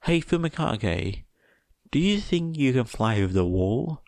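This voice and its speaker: A man's voice: mid-range voice, sing-song, a little slow, a little mellow, no room sound, voice pushed up. A man voicing a frank, sceptical teenage girl in a story, casual and matter-of-fact. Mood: uncertain.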